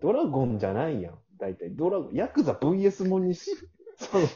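Male podcast host, western Japanese accent, very lively swooping pitch, mellow tone, incredulous comeback style, dullish lo-fi audio. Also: fast. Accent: western Japanese regional. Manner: teasing, incredulous retorts. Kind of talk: casual comic banter.

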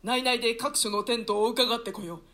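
A guilt-ridden villain king rages at his own conscience in a radio-play reading, performed by a man. The voice sits very high and sounds bright and forceful. The delivery is theatrical and anguished. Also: steady tone, brisk. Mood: uneasy. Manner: anguished theatrical monologue, self-reproaching. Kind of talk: staged radio-play reading of a classic translation.

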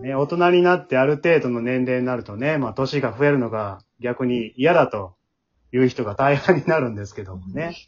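A man's voice: mid-range voice, lively intonation, brisk. A man hosting a casual after-show talk, chattily echoing and summing up what others say. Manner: chatty, echoes and sums up others' answers. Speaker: host and leader of an amateur drama troupe. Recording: slightly dull, lo-fi podcast sound.

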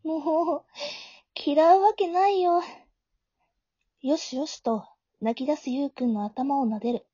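A woman playing a flustered, caring high school girl who narrates her own story in an amateur audio drama, in a soft, girlish, expressive way. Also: unhurried, pauses often, no echo. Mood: caring, reassuring. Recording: dull, lo-fi home recording.

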